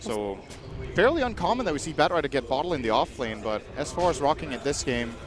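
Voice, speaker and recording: A man giving measured, analytical color commentary on an esports match. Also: on the high side, sing-song, noisy background. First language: English. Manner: measured, explanatory.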